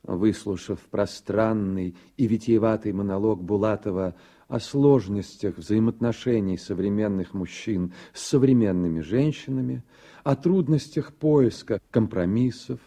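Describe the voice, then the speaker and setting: A man narrating a literary radio drama in a measured, even storytelling manner. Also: on the low side. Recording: soft music under the voice. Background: music.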